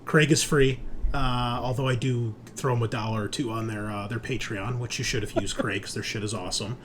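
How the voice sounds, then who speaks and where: A man's voice: mid-range voice, fairly even intonation, brisk, noisy background. A man hosting a tabletop roleplaying show as game master, chatting casually and informally with some swearing. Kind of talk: casual podcast chat.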